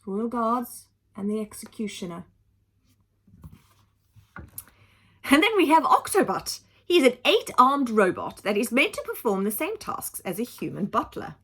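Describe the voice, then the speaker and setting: A woman reads a children's story in a lively, highly varied voice with a touch of huskiness. She leans on dramatic words and steps aside to explain them plainly, as a teacher would for young listeners. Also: a little slow, long pauses, slightly rough. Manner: animated, dramatic emphasis, explaining simply for children. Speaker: teacher reading aloud to children.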